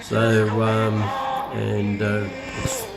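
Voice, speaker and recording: A man with a fairly low voice talks through a product demonstration in a casual, unscripted style. His delivery is hesitant and explanatory, feeling its way from one feature to the next. Music is clearly audible behind him. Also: monotone, extremely slow. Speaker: presenter of a radio review.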